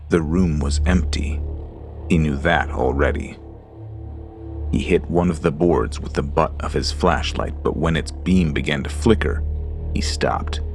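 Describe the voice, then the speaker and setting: A man with a very deep, gravelly voice reads a horror story slowly and ominously. Creepy music plays underneath his narration. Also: expressive, a little mellow.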